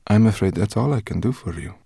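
A man voicing a gentle, reassuring woman. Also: on the low side, lively intonation, fast, gravelly, slightly rough, dry recording, studio quality, exaggerated voice. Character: kind, gentle woman healer and host.

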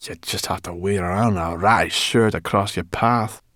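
A man's voice: sits low, moderate pace, fairly bright tone, no echo, clean studio sound. A man reading an audiobook, voicing a bold, blunt young female brownie. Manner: blunt and gruff.

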